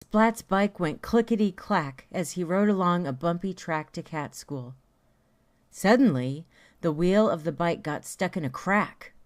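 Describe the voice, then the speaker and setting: A woman reading a children's story aloud in a deliberate, expressive way. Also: fairly low pitch. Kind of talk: read-aloud story narration.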